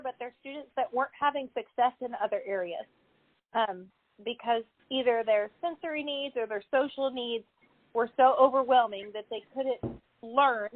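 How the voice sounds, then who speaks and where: A woman educator on a call-in town hall, speaking earnestly and steadily, thinking aloud with ums. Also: on the high side. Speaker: educator at a special school. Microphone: telephone. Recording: tinny phone line.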